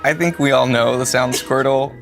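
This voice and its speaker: A woman's voice: extremely low pitch, steady tone, normal speed, gravelly, over music. A woman guest in a playful chat, giggly and teasing, dodging questions. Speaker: interview guest.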